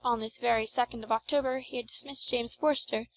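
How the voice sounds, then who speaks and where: A woman narrates an audiobook of classic fiction. Her voice sits somewhat high, and her delivery is measured and formal, as scripted storytelling. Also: steady tone, a little hiss or hum, rough recording.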